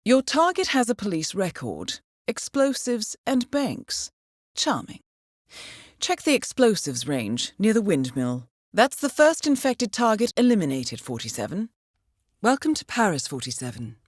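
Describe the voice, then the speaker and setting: A woman's voice: medium pitch, very animated, unhurried, no echo, clean studio sound. An actress playing a cool-headed female intelligence handler in a video game, giving scripted mission briefings in a composed, formal, dryly understated way. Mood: dry, wry, then calm approval.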